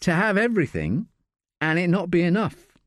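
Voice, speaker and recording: A man's voice: high-pitched, very animated, normal speed, no room sound, studio quality, voice pushed up. A man voicing a playful, probing woman detective in a narrated story. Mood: reflective.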